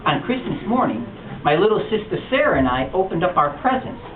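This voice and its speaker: Male storytime reader, lively expressive read-aloud, heard from a distance in an echoey room, tinny, noisy. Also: sits high, brisk. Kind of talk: reading a picture book aloud to children. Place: bookstore storytime.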